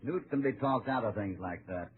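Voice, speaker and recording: An actor playing a calm, confident cowboy hero with a dry, easygoing manner in an old-time radio western. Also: male voice, fairly low pitch, normal speed, breathy edge, phone-quality sound. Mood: calm, wry. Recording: noisy, thin old radio recording.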